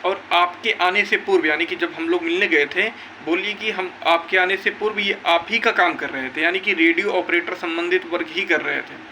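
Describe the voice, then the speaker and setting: Bright, high-voiced young man, insistent. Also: normal speed, hardly any pauses, a little hiss or hum, poor audio. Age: young adult. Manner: emphatic, insistent, repetitive. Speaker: exam-news video creator. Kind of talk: informal update to viewers, recounting a meeting.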